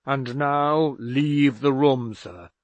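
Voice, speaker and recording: Man doing a pompous old father, loud and slow, indignant, dry close sound. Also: mid-range voice, dry recording, natural voice. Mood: furious. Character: stern elderly father, a pompous angry doctor.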